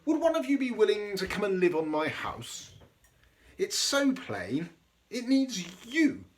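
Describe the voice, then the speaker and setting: A man voicing a polite, admiring hermit crab in a children's storybook, earnest and gentle, speaking slowly and courteously. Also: high voice, huge pitch swings, voice pushed up, full character voice. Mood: admiring, hopeful.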